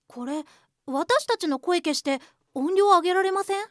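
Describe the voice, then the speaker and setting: An actress playing a modest, polite junior colleague who is deferential and curious, in a voice drama. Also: female voice, very high voice, moderate pace, fairly bright tone, no room sound, studio quality. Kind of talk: voice drama acting.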